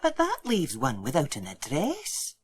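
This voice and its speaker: A woman's voice: low voice, huge pitch swings, measured pace, breathy edge, full character voice. A woman voicing a fussy, kindly village postmistress who chats and exclaims in a children's storybook. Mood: fussy, puzzled. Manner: chatty, exclaiming. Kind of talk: dramatised children's storybook reading.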